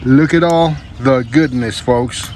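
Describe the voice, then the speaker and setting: A man's voice: mid-range voice, slow, a little mellow, slight background noise. A man hosting a casual unboxing vlog, chatting to viewers in a folksy, slangy, laid-back way. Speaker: vlog host.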